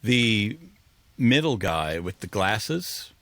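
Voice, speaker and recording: Bright, low-pitched man in casual chat. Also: sing-song, very slow, no room sound. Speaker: podcast panelist.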